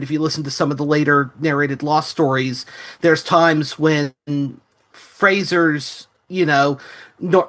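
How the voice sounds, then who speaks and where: A man's voice: on the high side, fairly bright tone. A man discussing and analysing as a podcast panelist, explaining his points in long winding sentences.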